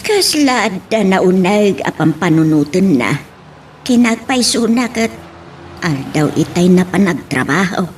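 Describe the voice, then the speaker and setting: A woman's voice: medium pitch, big pitch swings, unhurried, a little mellow, a little hiss or hum. A woman playing a kindly, concerned mother in an audio drama, hesitant and fretful. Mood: worried. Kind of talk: Ilocano radio-style drama acting.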